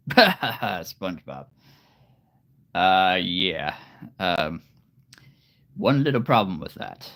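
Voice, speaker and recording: A man with a very deep voice chatting casually on a live stream, relaxed and rambling. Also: drawn-out words, long pauses. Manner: relaxed, rambling, laughs often. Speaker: live streamer and hobby voice actor.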